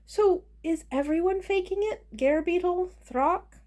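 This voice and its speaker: A woman's voice: very high-pitched, a little slow, darkish tone, pitched above the performer's own voice. A woman voicing a kindly, awkward male castle master who speaks hesitantly and reassuringly in a fantasy story. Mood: questioning.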